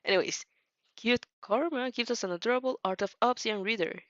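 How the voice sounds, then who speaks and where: A woman's voice: on the high side, a little fast, a bit bright, dry recording. A young woman who speaks English as a second language, chatting casually and hesitantly as she hosts a stream, often correcting herself. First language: not English. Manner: hesitant, giggly, self-correcting. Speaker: host reacting to fan fiction. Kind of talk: casual, rambling stream chat. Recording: dull lo-fi mic, faint music behind.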